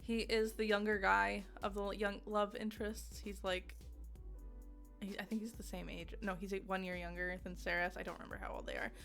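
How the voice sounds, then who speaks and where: Bright-voiced woman, casual game reviewer over music. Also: mid-range voice, little pitch movement, normal speed, creaky. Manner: giggly, often laughing as she talks.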